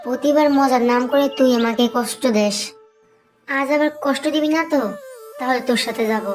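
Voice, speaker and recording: A woman's voice: high-pitched, a little fast, a little mellow, faint music behind, natural voice. A woman voicing an eager, complaining little village girl in a cartoon, whiny and easily aggrieved. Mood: eager, wary. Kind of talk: cartoon voice acting.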